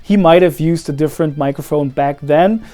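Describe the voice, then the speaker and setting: A young man hosting his own channel, talking casually and explaining guitar recording gear in a relaxed, friendly way, with light self-deprecating asides. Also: on the high side, moderate pace.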